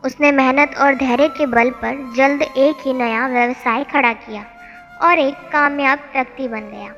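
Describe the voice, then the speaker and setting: ऊँची आवाज़ में कहानी सुनाती महिला. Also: female voice, high-pitched, steady tone, unhurried, a bit bright, highs cut off. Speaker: storyteller. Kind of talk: scripted narration of a devotional moral tale. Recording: long hall reverb, music bed. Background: music.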